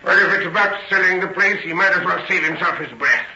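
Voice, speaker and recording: Embittered old man, proud and agitated, high creaky voice, halting delivery, dull vintage radio recording. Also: steady tone, sharp tone, echoey room, slight background noise, heavily acted. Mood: suspicious. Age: older. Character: embittered, frail, proud old farmer father. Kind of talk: old-time radio drama acting.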